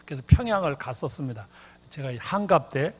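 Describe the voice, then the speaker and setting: An older retired pastor, born in North Korea, preaching a revival sermon in a church with repeated rhetorical questions. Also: male voice, fairly high pitch, lively intonation, a little fast. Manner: sings a hymn, then preaches with repetitive rhetorical questions. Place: church sanctuary. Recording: long church reverb.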